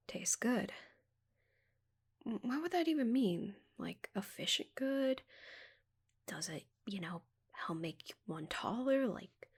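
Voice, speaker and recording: Woman playing giant alien lady, asmr roleplay, whispery and creaky, slow, prim and flustered. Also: a little high, pitched above the performer's own voice, full character voice. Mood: puzzled curiosity. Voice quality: breathy, whispery. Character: haughty, flustered giant female alien, naively curious about Earth.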